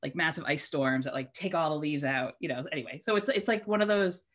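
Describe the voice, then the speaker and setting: A woman's voice: low-pitched, a little fast, fairly bright tone. A woman guest in a casual chat over a video call, rambling and searching for words, with lots of "like". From Massachusetts.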